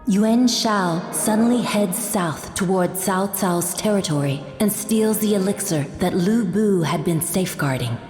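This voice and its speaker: A woman's voice: medium pitch, a little slow, few pauses, darkish tone, faint music behind, natural voice. Echoing actress as a solemn woman storyteller. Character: solemn storyteller of an ancient war chronicle. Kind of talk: scripted game story narration. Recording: echoey, long reverb.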